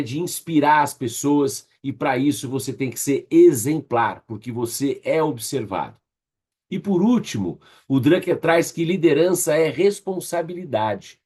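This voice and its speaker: A man's voice: medium pitch, darkish tone. A man with a Brazilian Portuguese accent giving a conversational leadership lecture, addressing his group directly and checking in with short tag questions in a didactic way. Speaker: leadership trainer.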